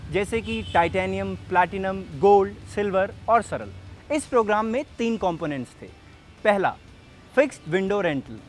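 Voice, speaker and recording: A man playing an earnest, persuasive sales rep, explaining things directly to the listener in a scripted training film. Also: high-pitched. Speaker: presenter and sales representative. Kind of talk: scripted training film narration and role-play.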